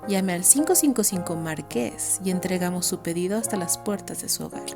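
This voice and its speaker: A woman's voice: on the low side, animated, moderate pace, creaky, music in the background. A woman playing a radio announcer, reading an enticing parody advertisement. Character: female radio announcer selling adult goods in a parody ad.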